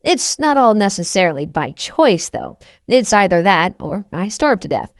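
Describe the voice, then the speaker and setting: A woman narrates an audiobook in the first person, reading scripted prose. Her pitch is mid-range and the recording is dry, with no room sound. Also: very animated, normal speed, no room sound.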